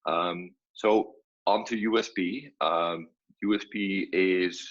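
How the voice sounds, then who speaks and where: Low-voiced Dutch-accented man, breathy, unhurried, lo-fi call sound. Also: steady tone, a bit bright. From Netherlands. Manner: measured, frequent fillers. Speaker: guest scientist. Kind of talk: video-call interview. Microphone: video call. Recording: dull lo-fi video call audio.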